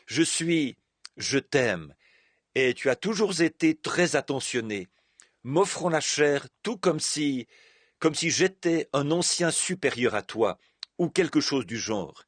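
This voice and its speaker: A man interpreting a sermon, taking his time over each phrase. His voice is husky. The sound is dry and close. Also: fairly high pitch, animated, slow pace, no echo.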